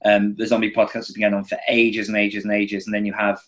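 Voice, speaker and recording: A man with a British accent and a fairly low voice. He speaks as an author being interviewed, explaining things in an easy conversational style. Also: completely flat delivery, fast talker, fairly bright tone. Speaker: podcast guest, indie horror author. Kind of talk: casual explanatory chat.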